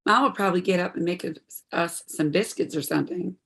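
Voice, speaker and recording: A woman's voice: lowish voice, brisk, gravelly, a bit bright. A woman playing an earnest, troubled daughter in her twenties in a stage drama, speaking in a heartfelt, pleading way. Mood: evasive, subdued. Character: earnest, troubled 26-year-old daughter, medical student. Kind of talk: stage play dialogue, audio performance.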